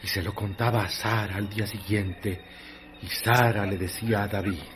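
A man narrating a literary radio drama in a dramatic, measured manner. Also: lowish voice, fairly even intonation, noisy background.

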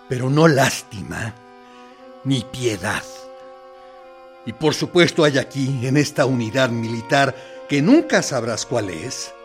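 An actor in a radio play voicing a military interrogator who plays good cop, deliberate, condescending and mock-friendly, with menace in his theatrical pauses. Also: medium pitch, fairly bright tone. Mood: mock sympathy, menacing. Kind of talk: dramatic radio play monologue.

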